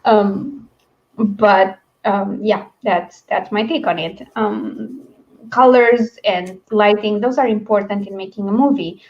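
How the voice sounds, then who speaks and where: A woman's voice: medium pitch, takes it slowly, lo-fi sound. A woman hosting a livestream book discussion in a casual, rambling, chatty way. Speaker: host of a book read-along livestream.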